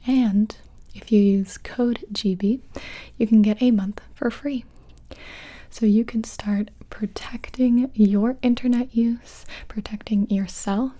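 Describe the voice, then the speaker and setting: A woman's voice: mid-range voice, mellow. A woman whispering breathily as an ASMR host reading a sponsor message.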